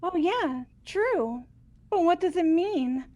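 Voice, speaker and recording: A woman playing an excitable high school student in a fan-voiced game. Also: takes it slowly, muffled recording, full character voice. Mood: puzzled. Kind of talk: fan voice acting of a video game script.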